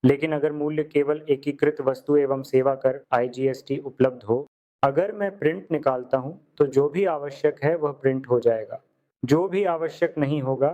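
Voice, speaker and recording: A man giving a step-by-step software tutorial as a screen-recorded lesson. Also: mid-range voice. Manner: step-by-step instructional explaining.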